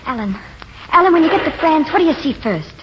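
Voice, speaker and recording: An ardent young woman in love speaks earnestly, with wide swoops of pitch. The vintage radio drama recording is muffled and clearly noisy. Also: very animated, fast talker. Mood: tender, yearning. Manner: earnest, pleading, theatrical. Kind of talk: 1940s radio drama acting.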